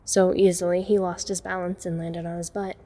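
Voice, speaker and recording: A woman's voice: on the low side, little pitch movement, a little fast, very noisy, close to an everyday voice. A woman playing a watchful young woman who narrates a night break-in in a scripted audio drama. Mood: measured, suspenseful. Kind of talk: scripted first-person narration.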